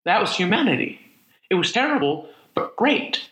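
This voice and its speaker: A man acting in a radio play as a lonely, weary survivor of a disaster, musing aloud in a halting, conversational monologue. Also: high voice, sing-song, echoey room, over music, poor audio. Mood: indignant, reflective. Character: lonely, weary, reflective male survivor wandering after disaster. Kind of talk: dramatic monologue, spoken like an audio diary.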